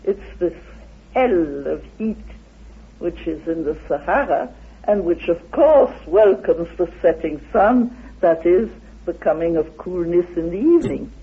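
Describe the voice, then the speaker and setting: A woman speaks slowly and deliberately, lecturing as an interviewed researcher. Her husky voice swings widely in pitch for dramatic emphasis. The old radio recording is narrow and phone-like. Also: lively intonation. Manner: deliberate, dramatic, with rhetorical questions. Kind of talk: spoken lecture-like explanation.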